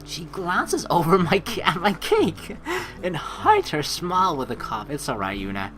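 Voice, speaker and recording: Female let's play host, low voice, lively intonation, chatty reading and reacting over game music. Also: measured pace. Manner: playful, chatty, reacts to the story. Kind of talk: game playthrough, reading narration aloud with casual commentary.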